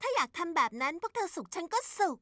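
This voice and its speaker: A woman's voice: extremely high pitch, lively intonation, quick pace, sharp tone, no echo, studio quality, exaggerated voice. A woman voicing a sweet, naive and easygoing teenage girl in a Thai cartoon dub, airy and agreeable. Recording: music behind the voice.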